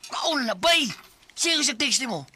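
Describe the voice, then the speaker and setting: An actor playing a boisterous, teasing young buddy with a Cebuano accent in a Bisaya radio drama. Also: male voice, very high voice, expressive, normal speed, sharp tone, breathy edge, exaggerated voice. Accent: Cebuano Filipino.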